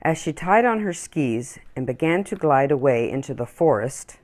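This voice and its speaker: A woman reading a children's story aloud in an expressive, deliberate storytelling manner. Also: a bass voice. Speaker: children's story-time reader.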